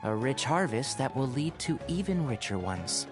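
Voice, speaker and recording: A man performs a young fox-eared forest ranger from a fantasy game. He is bookish and dry-witted, with a lively, swooping delivery and careful diction, over clear background music. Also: medium pitch, normal speed, close to an everyday voice. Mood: kindly, instructive. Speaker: video game character. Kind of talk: scripted game voice lines.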